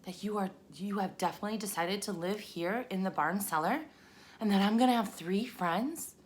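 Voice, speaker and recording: A woman's voice: mid-range voice, moderate pace, a little hiss or hum, voice pushed up, lightly acted. Woman playing young male pig, tender-hearted, sensitive, earnest, emotional, children's storybook character. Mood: joyful. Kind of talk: children's storybook read-aloud.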